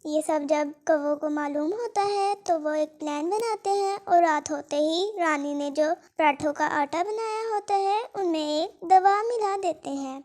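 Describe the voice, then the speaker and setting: Female storyteller for children's cartoon: very high pitch, reading scripted narration. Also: measured pace, hardly any pauses, a little mellow.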